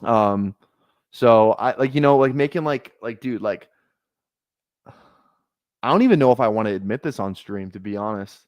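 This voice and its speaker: A man's voice: lowish voice, expressive, normal speed, long pauses, dry recording, lo-fi sound. A man in his twenties chatting casually on a fantasy football livestream, rambling, swearing often and laughing at himself. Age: 20s. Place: small apartment room.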